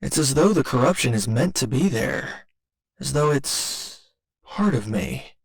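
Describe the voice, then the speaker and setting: A man voicing a gentle robot boyfriend in an ASMR roleplay, with formal, clinical phrasing delivered tenderly and a little hesitantly. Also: mid-range voice, animated, measured pace, vocal fry. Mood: confused, tender. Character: gentle male robot boyfriend learning to feel love.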